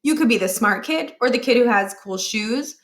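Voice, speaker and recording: A woman reading a children's novel aloud as an expressive storyteller. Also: on the high side, normal speed. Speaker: storybook reader.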